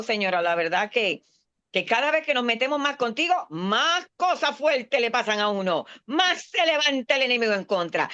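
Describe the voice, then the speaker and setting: A woman's voice: fairly high pitch, a bit bright. Earnest woman pastor preaching, lo-fi sound. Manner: earnest, mixes English words into Spanish. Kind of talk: sermon in a class. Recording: dull lo-fi sound.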